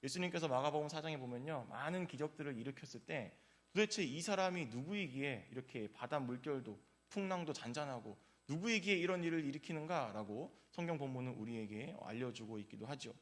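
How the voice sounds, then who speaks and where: A man's voice: mid-range voice, lively intonation, fairly quick. Man preaching an anecdotal morning sermon. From South Korea, grew up in Gyeongsang region. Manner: conversational storytelling with frequent "uh" fillers. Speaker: pastor. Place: church.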